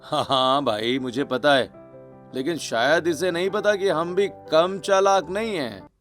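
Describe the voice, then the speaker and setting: A man's voice: a little high, a little slow, fairly bright tone, soft background music. A man voicing a wry, teasing young bridegroom and older brother in a cartoon story. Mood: wary. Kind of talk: Hindi cartoon story acting.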